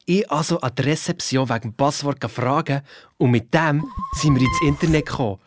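A male actor playing an excitable, boastful young boy detective with a Swiss German accent, telling his adventure in breezy everyday language for a children's audio drama. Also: fairly high pitch, a little fast, background noise, close to an everyday voice. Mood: eager storytelling. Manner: breezy colloquial storytelling, blunt and bickering.

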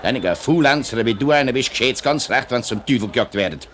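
A man's voice: mid-range voice, lively intonation, quick pace, a bit bright, close to an everyday voice. A man playing an irritated, blunt factory workers' representative in a 1950s radio play, with a Swiss German accent. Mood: scornful. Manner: blunt, scolding. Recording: noisy old radio recording, background ambience.

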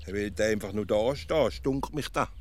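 An actor with a Swiss accent playing a nagging, suspicious, literal-minded provincial philosopher in a deadpan radio comedy, muttering back what he hears. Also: lowish voice, fairly quick, a little hiss or hum, close to an everyday voice. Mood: sulky, needling.

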